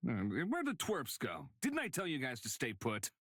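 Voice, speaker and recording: A man's voice: mid-range voice, wildly swooping pitch, moderate pace, a bit bright, dry recording, studio quality. A man playing a cocky, jokey leader of a travelling band in a visual novel, brash and sardonic. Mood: playful, sardonic.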